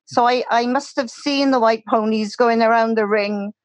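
An older woman, a retired library volunteer, speaking gently as a podcast guest. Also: fairly high pitch, steady tone, normal speed, no echo.

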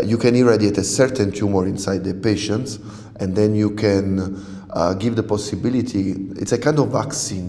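A man's voice: fairly low pitch, warm tone, reverberant, long reverb tail. A man from southern Italy whose first language is Italian, speaking English as a researcher guest. He talks hesitantly, with fillers and restarts. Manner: hesitant, searching for words, many fillers. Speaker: radiobiologist guest. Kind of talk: interview conversation.

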